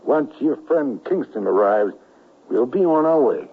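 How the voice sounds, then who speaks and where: A man's voice: medium pitch, lively intonation, measured pace, creaky, heavy background noise. An actor playing a vengeful, mockingly polite Confederate gunman in a western radio drama. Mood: vengeful, gloating. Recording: dull vintage radio broadcast.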